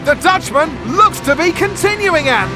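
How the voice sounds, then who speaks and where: A man playing an excited, dramatic motor racing TV commentator in a video game. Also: extremely high pitch, normal speed, music in the background. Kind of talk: live sports commentary.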